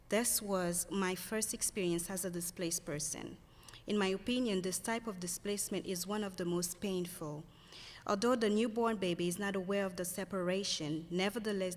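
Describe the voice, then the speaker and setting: A woman reads a prepared testimony in a formal, measured manner. She speaks into a table microphone on a large stage, with a long hall reverb. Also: medium pitch. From Haiti. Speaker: panelist giving refugee testimony. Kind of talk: reading a prepared personal testimony.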